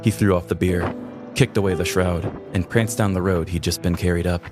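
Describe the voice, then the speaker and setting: A male narrator tells a scripted comedic story. His voice is very low in pitch. A clear music bed plays under the narration. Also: steady tone, brisk.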